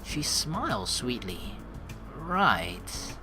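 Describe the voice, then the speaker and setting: A woman's voice: very deep voice, very animated, extremely slow. A woman hosting a let's play, switching between reading game text aloud and casual, playful joking commentary. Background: game music.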